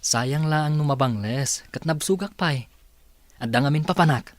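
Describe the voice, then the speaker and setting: A man's voice: on the high side, normal speed, no echo, lightly acted. A man playing an easygoing, politely evasive young working man in an audio drama, with a Filipino Ilocano accent.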